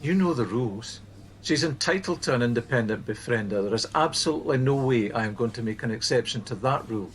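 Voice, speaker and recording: A man playing a firm, measured team supervisor. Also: medium pitch, lively intonation, slight background noise, lightly acted. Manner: measured, tactful, insistent. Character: earnest, firm male supervisor of a helpline team. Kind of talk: naturalistic radio play acting.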